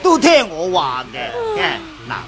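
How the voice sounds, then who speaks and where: An actor in a Cantonese radio drama playing a menacing, lecherous gang leader who gloats and taunts. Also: male voice, very high voice, huge pitch swings, slow pace, a loud crowd, full character voice. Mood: gloating, menacing.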